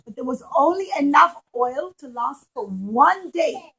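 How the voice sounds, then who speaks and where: High-pitched woman telling children a story. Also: lively intonation, unhurried, bright, forceful tone, low-quality recording. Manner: emphatic, repeats phrases for children. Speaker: children's storyteller and puppet show presenter. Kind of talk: animated storytelling to young children.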